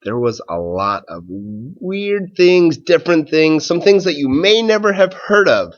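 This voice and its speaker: A man who is a schoolteacher doing a read-aloud for children and talking to pupils in a measured, simple way. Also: fairly high pitch, very animated, highs cut off.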